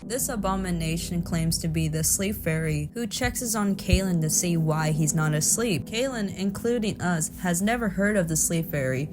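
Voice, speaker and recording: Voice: mid-range voice, normal speed, few pauses. A woman giving an informal, explanatory commentary in the style of a horror film breakdown. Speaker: video commentator. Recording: loud music under the voice.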